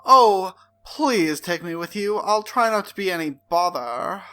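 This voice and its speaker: Brief: a man reading a scared, fragile young woman in a slightly high, slow, bright voice. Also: lively intonation, clean tone, full character voice. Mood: pleading. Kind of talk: comic dramatic reading.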